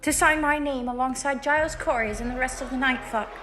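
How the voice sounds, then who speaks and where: A woman's voice: high-pitched, brisk, noisy background, lightly acted. A woman playing a frightened girl giving fearful, urgent testimony in an audio drama.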